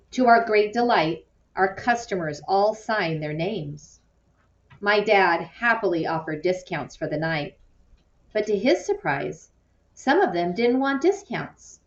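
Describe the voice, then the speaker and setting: A woman's voice: mid-range voice, expressive, a little slow. A woman reading a children's novel aloud with expression, like an audiobook narrator. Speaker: audiobook reader. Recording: muffled, roomy, distant from mic.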